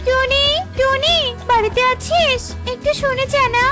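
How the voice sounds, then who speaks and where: A woman's voice: very high-pitched, fairly even intonation, measured pace. A woman voicing a small, anxious, caring female bird in a children's cartoon, earnest and pleading. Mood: worried. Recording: music playing behind the voice.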